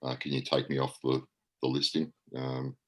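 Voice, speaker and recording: A man's voice: very deep voice, completely flat delivery, moderate pace, fairly bright tone. An Australian man giving a registrar's report at an online meeting, hesitant and rambling with many fillers.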